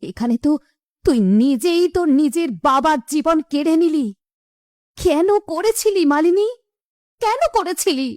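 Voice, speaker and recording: Slow, high-voiced, lamenting mother, acted by a woman. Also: darkish tone, dry recording, studio quality. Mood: reproachful. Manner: drawn-out, emotional lamenting. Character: grieving middle-aged mother and wife, imploring. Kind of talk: horror cartoon story acting.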